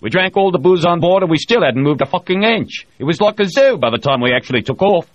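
Brief: man voicing a booming, swearing storyteller with a lively delivery, dull dry audiobook sound. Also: quick pace, no room sound. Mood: boisterous. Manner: boisterous, swearing freely. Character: loud profane male miner-type storyteller.

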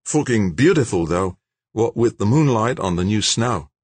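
A man voicing a big, shaggy young Australian man with an Australian accent, blunt and cheerful in a gruff, salty way. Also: lowish voice, animated, normal speed, a little mellow, dry recording, pitched above the performer's own voice. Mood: blunt, cheery.

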